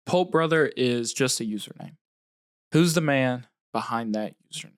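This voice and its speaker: A man narrates a podcast in a measured, scripted storytelling delivery. His voice sits at an ordinary middle pitch. The recording is dry and close, with no room sound. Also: animated, smooth, no echo.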